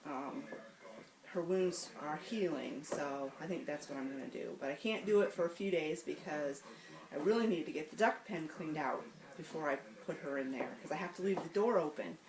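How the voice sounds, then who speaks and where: Hoarse, raspy woman vlogging casually. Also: deep voice, moderate pace, reverberant, far from the mic, crowd noise, poor audio. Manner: chatty, rambling, frequent ums and restarts. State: hoarse from sinuses and allergies. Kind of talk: casual rambling vlog chat. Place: home and outdoor animal pens. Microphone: handheld camera, self-filmed.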